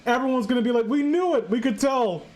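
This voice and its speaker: A woman's voice: a little high, fast talker, a little mellow. A woman hosting a casual comedic reading, joking crudely and irreverently as she riffs with friends. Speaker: host of a story-reading show.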